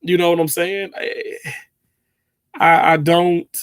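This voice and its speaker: Man, thirties, slightly high voice, rambling livestream chat. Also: level tone, takes it slowly, clean tone. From Mississippi, US. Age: 30s. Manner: rambling, frequent fillers and restarts. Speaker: livestream host. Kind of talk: casual livestream chat with viewers.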